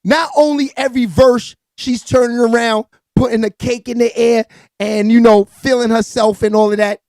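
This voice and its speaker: A raspy-voiced man hosting a live entertainment news stream, giving hyped, slangy hip-hop gossip commentary with lots of shout-outs to viewers. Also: very high-pitched, fairly even intonation, normal speed.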